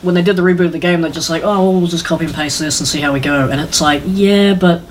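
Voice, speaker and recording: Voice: medium pitch, steady tone, fast talker. A podcast host chatting casually about films in an informal way, often acting out mock quotes. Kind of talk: casual chat, film opinions. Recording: noisy room with background ambience.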